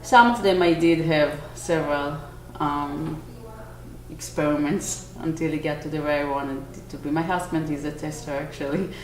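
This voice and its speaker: A woman in her forties from Israel with an Israeli accent, a bakery owner answering interview questions earnestly, pausing to search for words. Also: low-pitched, steady tone. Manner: earnest, with small restarts and searching pauses. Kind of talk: on-camera interview. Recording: echoey room, faint noise.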